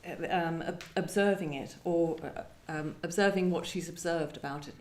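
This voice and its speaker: A woman poet speaking thoughtfully about poetry and reading it, often pausing to search for words. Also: on the low side, a little mellow. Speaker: guest poet discussing and reading poems. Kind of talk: literary discussion and poetry reading. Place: echoey indoor room at a live event. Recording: echoey room recording.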